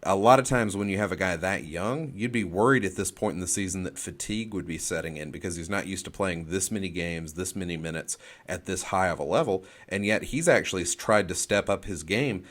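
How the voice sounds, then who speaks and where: Male sports show co-host, low-pitched voice, halting phrasing with repeated words, unscripted analysis. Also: fairly bright tone.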